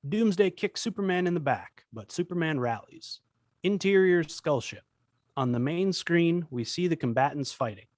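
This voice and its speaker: A man reads screenplay action and scene headings as narrator at a table read. His voice is slightly high for a man, with a dry, close sound. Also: expressive, moderate pace, a little mellow, no room sound. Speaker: narrator reading stage directions.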